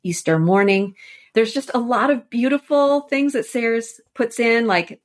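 A woman's voice: a little high, a little slow. A woman speaking as a podcast guest, explaining literature in a thoughtful, enthusiastic, conversational way. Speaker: interview guest, author and editor.

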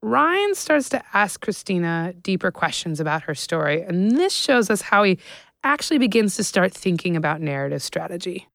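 A woman's voice: on the low side, very animated, normal speed, creaky, a bit bright, dry recording. A woman narrating commentary that explains a recorded conversation.

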